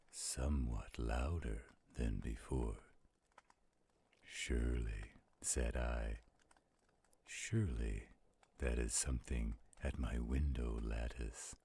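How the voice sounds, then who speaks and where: A man voicing a slow-spoken werewolf who solemnly recites gothic poetry in an audio roleplay, with deliberate, gravelly delivery. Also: rumbling low, a little mellow, heavily acted. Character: slow-spoken male werewolf reading a poem by campfire.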